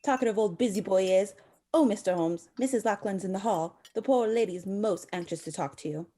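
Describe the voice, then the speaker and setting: A woman playing a gossipy, sharp-tongued village housekeeper in a radio play, brisk and folksy. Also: mid-range voice, close to an everyday voice. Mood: brisk, tart.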